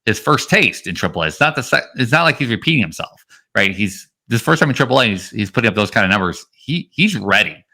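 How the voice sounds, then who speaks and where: A man's voice: lowish voice, very animated, fast talker, pushed and bright, breathy edge. A man co-hosting a fantasy baseball podcast, giving casual analysis in a rambling way that stresses key points. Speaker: podcast co-host.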